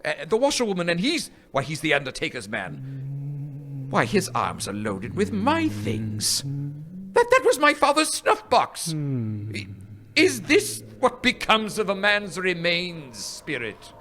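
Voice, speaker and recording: Man as fearful old miser begging a spirit, high lively voice, music behind. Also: takes it slowly, exaggerated voice. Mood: dismayed. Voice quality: lively, imploring. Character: frightened old male miser pleading with a ghost. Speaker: radio play actor. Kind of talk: live radio play acting.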